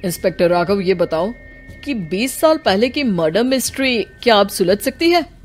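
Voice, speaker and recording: A woman's voice: mid-range voice, expressive, normal speed, close to an everyday voice. A woman takes the part of a senior police officer in a cartoon tale. He sounds methodical and official, putting questions with authority over background music. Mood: inquiring. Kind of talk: Hindi animated moral story dialogue.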